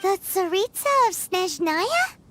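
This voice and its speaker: A woman voicing a tiny, excitable fairy companion in a video game, with a squeaky childlike voice and a blunt, perky manner. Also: slow pace, slight background noise, heavily acted. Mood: inquisitive. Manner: speaks of self in third person, perky.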